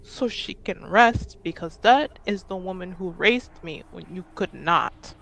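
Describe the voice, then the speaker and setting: A woman playing a grieving grandchild who pleads earnestly and haltingly in a tabletop roleplaying game. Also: on the low side, fairly bright tone. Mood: earnest pleading. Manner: slow, halting, earnest delivery. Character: Ade, sincere, grieving grandchild pleading with an estranged relative.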